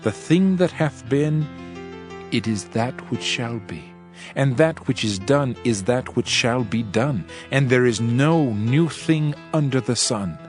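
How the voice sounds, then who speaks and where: A weary old-world king turned preacher, reciting scripture in a solemn, measured, oratorical way. His voice sits at a medium pitch. Music plays clearly behind him throughout the dramatized audio reading. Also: animated. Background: background music.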